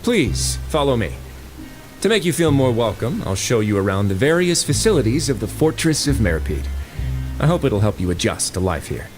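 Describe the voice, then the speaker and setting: An actor playing a composed, mysterious prison duke in a video game, speaking in a polite, deliberate, authoritative way over music. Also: male voice, medium pitch, sing-song, natural voice. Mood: welcoming, calm. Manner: measured, polite, smoothly deliberate. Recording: music behind the voice.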